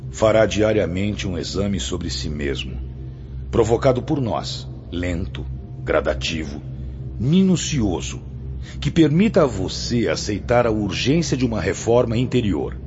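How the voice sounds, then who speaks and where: A male actor with a Brazilian accent playing a stern, pious spirit mentor in a radio drama, preaching in a solemn, measured way. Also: fairly low pitch, very animated. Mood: solemn, instructing. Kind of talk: radio drama acting in Portuguese. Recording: dull lo-fi audio with loud music.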